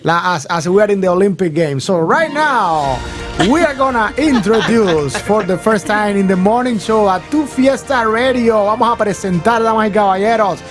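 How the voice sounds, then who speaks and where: High-voiced hyped bilingual radio host. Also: male voice, animated, normal speed, over music. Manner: upbeat, hyped announcer switching between English and Spanish. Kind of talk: bilingual morning radio show. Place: radio studio.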